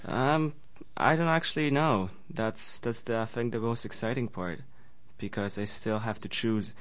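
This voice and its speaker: A man's voice: medium pitch, unhurried, a bit bright. A young man in his twenties from Poland with a Polish accent, a student guest talking earnestly in slightly nonstandard phrasing. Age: 20s. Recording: dull lo-fi radio recording.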